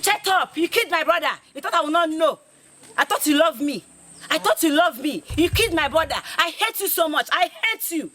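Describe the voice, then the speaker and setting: A woman's voice: very high-pitched, expressive, brisk, pushed and bright, slight background noise, exaggerated voice. An actress playing a hurt, defiant young girlfriend, speaking emphatically and repeating herself in a melodramatic film. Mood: furious, hurt. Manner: emotional, emphatic, repeating phrases.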